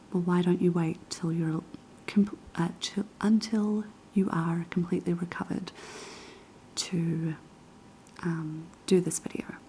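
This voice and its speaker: A young woman with a New Zealand accent whispering unhurried ASMR chat in a kitchen, her voice raspy and hoarse after being sick. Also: fairly low pitch, a little hiss or hum, rough recording. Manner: soft, unhurried whispering with many ums. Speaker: ASMR creator. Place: kitchen of a quiet flat.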